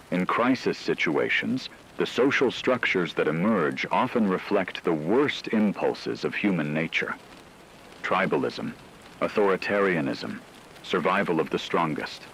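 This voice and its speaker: A man playing a grave, analytical news anchor in an apocalypse radio drama, speaking in a measured, formal way. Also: low-pitched, fairly bright tone, a little hiss or hum, heavily acted, rough recording. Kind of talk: scripted radio news commentary.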